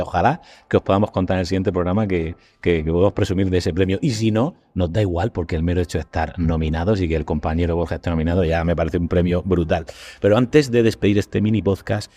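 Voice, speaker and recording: A man with a Spain Spanish accent hosting a casual podcast discussion in an animated, colloquial, rambling way. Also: sits low, fast. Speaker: podcast host.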